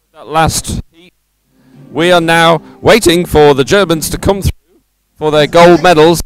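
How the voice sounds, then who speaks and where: A man giving live event commentary in a halting, improvised way as he fills time. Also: on the high side, clean tone, low-quality recording. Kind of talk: live commentary on results and medal ceremony.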